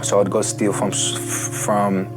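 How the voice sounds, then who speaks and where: Young man, 19, low monotone, casual halting testimony, music underneath. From Ukraine. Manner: halting, filler-heavy speech with many restarts. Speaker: interview guest sharing testimony.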